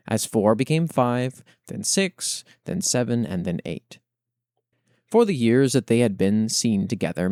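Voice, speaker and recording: A man hosting a podcast, reading written fiction aloud in a measured, expressive storytelling way and adding his own commentary. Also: fairly low pitch, no room sound, studio quality. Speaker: podcast host.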